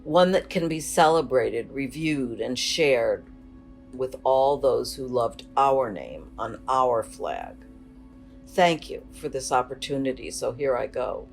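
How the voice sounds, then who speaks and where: A woman's voice: extremely low pitch, expressive, slow pace, vocal fry, faint music behind. A woman delivering a prepared memorial speech as a bereaved spouse. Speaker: bereaved spouse giving a eulogy.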